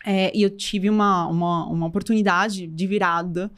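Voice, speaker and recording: A woman's voice: on the low side, steady tone, moderate pace. Interview guest: Colombian woman, middle-aged, heavy accent, reflective, stops and restarts her thoughts. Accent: Colombian Spanish. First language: Spanish. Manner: thoughtful, many restarts, mixes Spanish into Portuguese. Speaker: guest, company co-founder. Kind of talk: casual interview talk.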